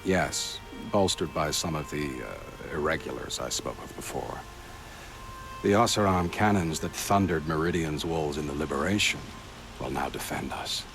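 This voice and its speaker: Mature royal counsellor, game cutscene: very low, animated inflection, dry wit, polished formality. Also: male voice, gravelly, background noise, exaggerated voice. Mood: wry, composed. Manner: formal, measured, drily witty. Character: urbane, wry, courtly royal advisor, mature man. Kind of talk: video game cutscene acting.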